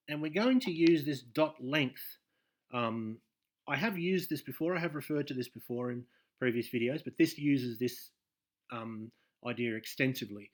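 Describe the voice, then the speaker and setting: A man hosting a coding tutorial over a screen share, hesitant and full of ums and restarts, often trailing off quietly. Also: mid-range voice. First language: English. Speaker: game dev tutorial host.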